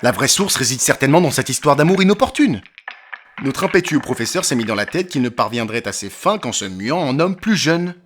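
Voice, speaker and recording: Male actor as eloquent, provocative detective, declamatory audio-drama delivery, animated pitch, faint music underneath. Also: natural voice. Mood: sardonic, philosophical. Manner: theatrical, declamatory. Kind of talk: French audio drama acting.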